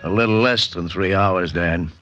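An actor playing a gruff, wisecracking police lieutenant in a 1940s radio mystery, blunt and sardonic. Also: male voice, deep voice, moderate pace, fairly bright tone, highs cut off, soft background music. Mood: blunt, assured.

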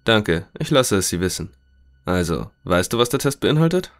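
Man playing a low-voiced probing scientist. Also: big pitch swings, moderate pace, soft background music. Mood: businesslike, curious. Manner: questioning, matter-of-fact. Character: inquisitive male scientist, courteous, probing interviewer. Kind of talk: scripted audio drama.

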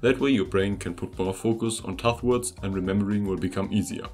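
A man's voice: on the low side, fairly even intonation, fairly quick, darkish tone. German man narrating a language tutorial. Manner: upbeat, conversational, addresses viewers directly. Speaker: language-learning video host. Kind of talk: scripted voice-over narration. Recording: voice-over with faint music behind.

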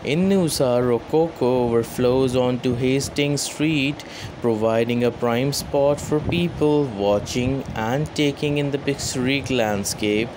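A man narrating a scripted top-ten countdown in an even, measured reading voice. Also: medium pitch, background noise. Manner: even, measured reading with place names mispronounced.

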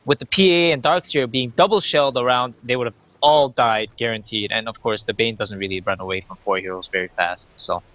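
A man's voice: mid-range voice, fairly quick, few pauses, fairly bright tone, dull audio. A young man from Canada co-casting an esports match, chatty and analytical, laughing often as he breaks down plays. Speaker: esports co-caster. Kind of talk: esports commentary.

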